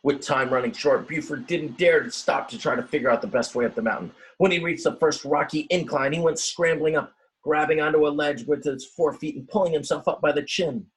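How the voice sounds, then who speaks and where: A man reading a children's storybook aloud in a dramatic, expressive way, with simple explanatory asides for young listeners. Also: a little high, brisk, breathy edge, rough recording. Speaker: teacher reading a picture book aloud.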